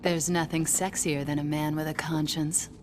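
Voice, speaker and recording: An actress playing a confident, flirtatious mutant rebel in a video game, cool and sultry in delivery. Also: female voice, sits low, level tone, moderate pace, background noise, close to an everyday voice. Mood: cool, teasing. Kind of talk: video game cutscene acting.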